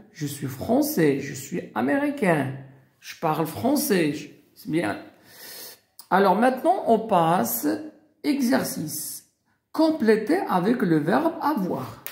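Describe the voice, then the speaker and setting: Slow gravelly guy teaching beginners, dark voice, lots of pitch up and down, echoey room. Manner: slow, deliberate, spells out and repeats examples. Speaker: French teacher. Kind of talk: beginner language lesson.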